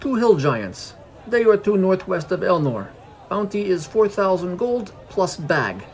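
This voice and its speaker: A man's voice: sits high, big pitch swings, measured pace, darkish tone, a faint crowd, voice pushed up, full character voice. A man voicing a polite, earnest, towering dragonborn paladin in a fantasy story. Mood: reading aloud. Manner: courteous, earnest.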